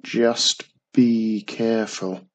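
A storyteller voicing a wary little old man who tells spooky tales slowly and with emphasis. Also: medium pitch, fairly even intonation. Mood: dramatic. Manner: slow, emphatic, dramatic. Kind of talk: storybook reading.

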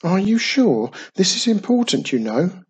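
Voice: mid-range voice, drawn-out words, slightly rough, exaggerated voice. A storyteller voicing a busy, mischievous young wizard boy from a children's storybook, earnest and fussy. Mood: doubtful.

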